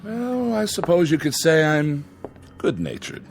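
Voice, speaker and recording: An actor playing a fawning, greedy middle-aged son-in-law in a radio drama, with an unctuous, pompous way of talking. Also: male voice, fairly high pitch, huge pitch swings, a little slow, gravelly, soft background music, full character voice. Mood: flustered, smug.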